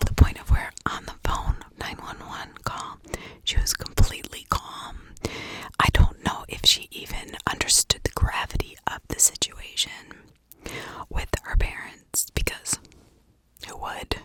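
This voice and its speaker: A woman doing ASMR true-crime storytelling in a breathy whisper, chatting from memory with dramatic emphasis on key words. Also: a little slow, bright, forceful tone. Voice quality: breathy, unvoiced whisper with creaky edges.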